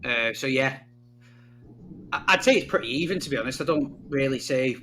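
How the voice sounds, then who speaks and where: A man's voice: mid-range voice, moderate pace, slight background noise. Guy co-hosting a fantasy football pod, chatty and laid back. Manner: chatty, relaxed storyteller. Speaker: fantasy football podcast co-host. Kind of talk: casual chat.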